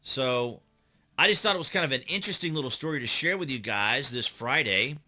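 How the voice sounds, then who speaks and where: A man's voice: medium pitch, lively intonation, fairly quick, pushed and bright. A middle-aged American man hosting a news commentary channel, reading and commenting in a conversational way that leans on key words.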